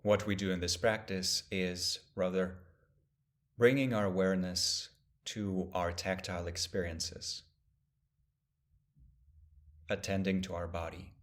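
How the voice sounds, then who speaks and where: Male meditation guide, low register. Gentle, measured instructional delivery with spacious pauses. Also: fairly even intonation. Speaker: meditation teacher.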